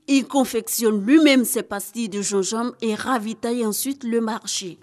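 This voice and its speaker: A woman giving scripted news narration as a reporter, in a measured, formal delivery. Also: on the high side, darkish tone.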